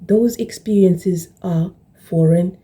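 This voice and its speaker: A woman in her early forties with a mixed Zimbabwean and British accent, talking reflectively and deliberately about personal experience, repeating phrases for emphasis. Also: fairly low pitch, mellow, slightly rough. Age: early 40s. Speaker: video blogger. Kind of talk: personal reflective talk to camera.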